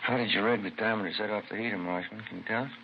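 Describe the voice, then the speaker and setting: A man's voice: on the low side, steady tone, very fast, lightly acted. A man with an American accent playing a probing, no-nonsense police detective in a 1950s radio drama. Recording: muffled, hissy vintage radio broadcast recording.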